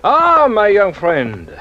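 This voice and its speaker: Theatrical inspector, wildly swooping voice. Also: male voice, sits high, wildly swooping pitch, very slow, dull audio, a little hiss or hum, heavily acted. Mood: genial, expansive. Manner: probing, exclamatory, theatrical. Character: shrewd, theatrical French male police inspector. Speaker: actor playing a detective inspector. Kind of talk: radio drama acting.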